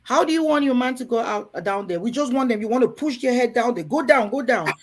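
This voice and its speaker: A woman's voice: fairly high pitch, expressive, quick pace, background noise. A woman from Cameroon hosting a frank talk to women in a room, earnest and coaxing, often turning to the ladies in her audience. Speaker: host.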